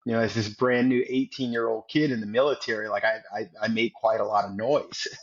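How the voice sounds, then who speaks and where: Husky mid-pitch man, 40s, earnest storytelling guest, dull lo-fi sound. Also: steady tone, fairly quick. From United States. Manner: earnest, repeats words while telling a story. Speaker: podcast guest, former Navy SEAL. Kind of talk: storytelling interview.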